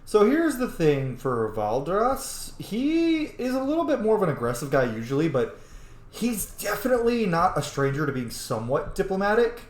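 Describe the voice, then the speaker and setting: A man giving casual, animated gameplay commentary, laughing often and swearing freely. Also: high voice, moderate pace, roomy sound.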